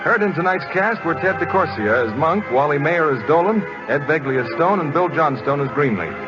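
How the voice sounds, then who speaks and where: Male vintage radio announcer, swooping lively pitch, music behind, dull broadcast sound. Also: fairly quick. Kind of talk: 1940s radio announcing, scripted.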